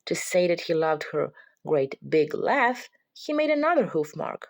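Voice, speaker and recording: Slow-paced woman reading a picture book. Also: lowish voice, sing-song. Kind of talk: scripted storybook narration for children.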